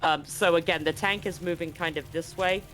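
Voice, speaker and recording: A man acting as game master, narrating and explaining a tabletop scene in a casual, halting way that often rephrases. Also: fairly high pitch, steady tone, a bit bright, soft background music, poor audio.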